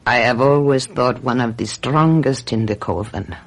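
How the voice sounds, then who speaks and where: An actor in a radio drama playing a solemn, commanding coven leader with a gravelly, husky voice and incantatory delivery. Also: male voice, mid-range voice, normal speed, natural voice. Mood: solemn, knowing.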